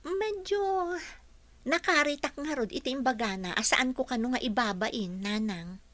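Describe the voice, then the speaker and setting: A woman voices a young housekeeper who answers politely and respectfully in a radio drama. Her voice is bright and a little high. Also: moderate pace, no room sound. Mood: earnest. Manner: polite, deferential.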